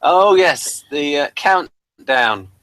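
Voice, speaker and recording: Man, higher-pitched, bright forceful tone, earnest, hesitant starts, casual host asking questions. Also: animated, drawn-out words, no echo. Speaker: host and discussion leader. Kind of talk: casual discussion with prompting questions.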